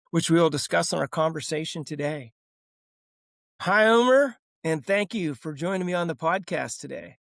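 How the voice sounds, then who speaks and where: A man's voice: fairly high pitch, a bit bright, no room sound. A man hosting a business podcast, introducing his guest and asking questions in a friendly, loosely rambling way. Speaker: podcast host. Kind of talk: interview hosting.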